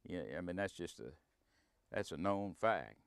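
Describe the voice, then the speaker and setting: Smooth, lowish older man. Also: lively intonation, a little slow, a bit bright, dry recording, studio quality. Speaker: interviewee. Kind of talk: casual reminiscence.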